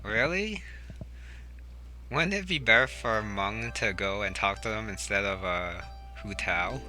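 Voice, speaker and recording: A man with a fairly low voice gives relaxed, casual commentary while playing, thinking out loud as he goes. Game music plays clearly behind him. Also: measured pace. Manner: relaxed, musing aloud. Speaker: gaming channel host.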